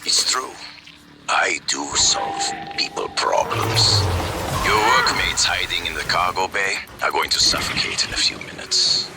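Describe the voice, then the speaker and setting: A man playing a menacing, sardonic mercenary commander in a video game, with a gravelly voice and a deliberate delivery. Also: sits low, heavily acted. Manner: slow, deliberate, dryly sardonic. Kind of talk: dramatic video game acting.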